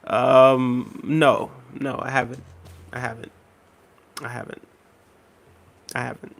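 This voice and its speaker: A man in his thirties hosting a casual livestream, reading viewer comments aloud in a relaxed, repetitive way with many fillers, a little unwell and tired. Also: medium pitch, slow, creaky. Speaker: livestream host.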